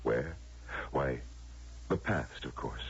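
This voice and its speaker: An actor playing a solemn, knowing man who grants wishes, gravelly and persuasive in a radio drama style. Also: very deep voice, animated, slight background noise, exaggerated voice. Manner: measured, grave, persuasive, theatrical. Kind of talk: American radio drama acting. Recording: muffled, lo-fi old radio broadcast.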